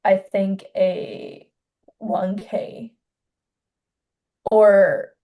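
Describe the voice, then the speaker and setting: Soft-spoken young woman from China. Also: mid-range voice, little pitch movement, phone-quality sound. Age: 20s. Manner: soft-spoken, hesitant, many pauses and restarts. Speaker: filmmaker guest. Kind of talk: casual interview.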